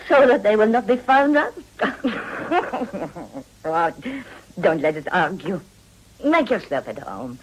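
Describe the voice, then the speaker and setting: Husky-voiced worldly madam, cynical, coaxing, theatrical French affectations, period radio drama. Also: female voice, medium pitch, sing-song, slow, heavily acted, low-quality recording. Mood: smooth, coaxing. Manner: theatrical, knowing, teasing.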